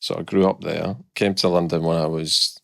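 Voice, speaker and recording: A man's voice: low-pitched, flat delivery, normal speed, creaky, no room sound, clean studio sound. A middle-aged man from Scotland with a Scottish accent, a guest talking candidly and reflectively about his career, with hesitant restarts. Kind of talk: casual podcast interview.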